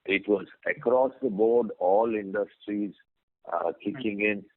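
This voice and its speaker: A man speaking as a company executive on an earnings call, giving business updates in measured, hesitant phrasing. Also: lowish voice, fairly even intonation, no room sound. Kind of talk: business update and answering analyst questions. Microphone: phone conference line. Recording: phone-like conference call line.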